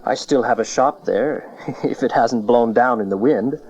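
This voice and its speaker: A man's voice: medium pitch, measured pace, darkish tone, natural voice. A man playing a humble, sincere carpenter father in a dramatized Bible audio play, recorded on dull cassette. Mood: respectful. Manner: sincere, respectful.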